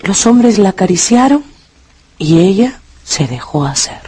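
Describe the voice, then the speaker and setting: A woman's voice: mid-range voice, very animated, measured pace, mellow, breathy edge. A woman narrating a dramatised story for radio from a script. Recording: music under the voice.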